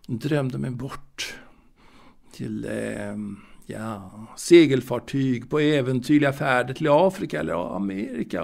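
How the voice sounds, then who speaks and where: Male actor as elderly male storyteller, raised pitch, creaky gravelly voice, halting, wistful, musing, audio drama monologue. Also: darkish tone. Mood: nostalgic, confiding. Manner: halting, musing, with hesitations and repeated words. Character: old man wistfully reminiscing about his 1920s Stockholm boyhood.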